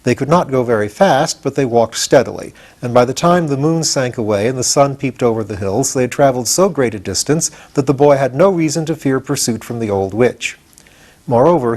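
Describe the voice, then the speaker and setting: A man's voice: mid-range voice, darkish tone. An older man narrating a children's storybook as an audiobook reader.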